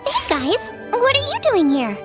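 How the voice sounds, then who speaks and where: A very high, squeaky childlike fairy, eager and exclamatory. Her game-cutscene lines bounce up and down over prominent music. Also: huge pitch swings, unhurried, heavily acted. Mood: bright, curious. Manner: eager, exclamatory, refers to self by name. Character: tiny excitable childlike fairy companion, eager and chirpy. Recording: music behind the voice.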